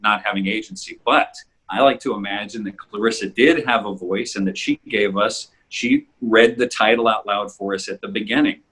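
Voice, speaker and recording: A middle-aged man with a husky voice, hosting a casual conversational interview. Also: on the low side, steady tone, moderate pace, few pauses, sharp tone, poor audio. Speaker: host.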